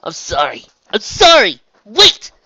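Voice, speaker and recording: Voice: medium pitch, expressive, vocal fry, dull audio, heavy background noise, pitched above the performer's own voice. A narrator voicing an emotional young female unicorn in a storybook, stammering, apologetic and unsure of herself. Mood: frantic. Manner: stammering, halting.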